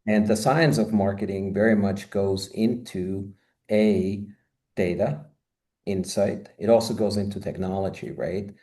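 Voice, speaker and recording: A man's voice: lowish voice, fairly even intonation, a little slow, dark tone. A man in his fifties, born and raised in Austria, speaking as a guest on a video-call interview from a home office, talking expansively with many "you know" asides. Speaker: guest, marketing consultant. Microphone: video call, webcam.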